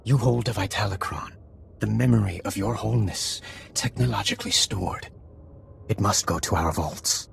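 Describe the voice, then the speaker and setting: Very gravelly alien official, low voice, stiff and reverent, game with faint noise behind. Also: male voice, moderate pace. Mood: formal, reverent. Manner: stilted, ceremonial. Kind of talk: video game dialogue acting.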